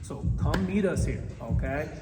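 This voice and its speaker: A man who coaches fitness, talking in a loud, hyped, animated way with a habit of repeating stock phrases. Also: on the high side, a little slow. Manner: repeats "sit up here" as a habit. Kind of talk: casual podcast interview. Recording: echoey hall, distant mic, loud music.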